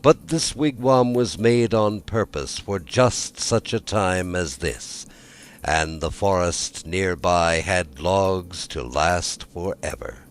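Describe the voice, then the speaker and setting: A man narrating a scripted fairy tale as a storyteller, measured and with dramatic emphasis. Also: sits low.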